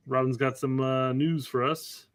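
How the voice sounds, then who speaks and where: A man's voice: medium pitch, little pitch movement, measured pace. A man with an American accent co-hosting a livestream in casual, folksy chat, relaying viewer comments. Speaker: co-host of a soccer livestream.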